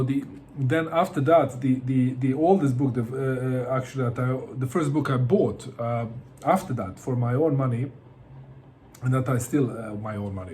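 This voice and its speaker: A man's voice: medium pitch, a little mellow, a little hiss or hum. A middle-aged man from Bosnia, talking casually to camera about books, hesitant, with many fillers and repeated words. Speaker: book vlogger.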